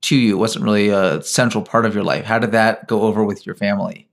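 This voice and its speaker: A man's voice: fairly low pitch, fairly even intonation, fast talker, creaky. A man hosting a podcast interview, upbeat and welcoming as he asks his guests questions. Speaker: podcast host.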